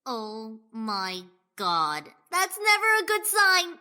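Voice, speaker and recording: A woman's voice: fairly high pitch, very animated, takes it slowly, over music. A woman playing a blunt, fiery, suspicious fighter in a fan comic dub, brash and incredulous.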